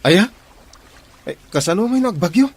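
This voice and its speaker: A man's voice: high-pitched, expressive, normal speed, a little hiss or hum. A man with an Ilocano accent playing an uneasy, questioning seaman in a radio drama. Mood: curious, uneasy.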